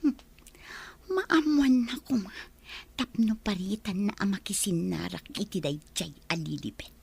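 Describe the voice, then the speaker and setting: An actress playing a nosy, scheming busybody in a radio drama, with a husky voice and a prying manner. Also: female voice, mid-range voice, unhurried, a little mellow, full character voice. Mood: scheming, evasive. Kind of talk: Ilocano radio drama acting.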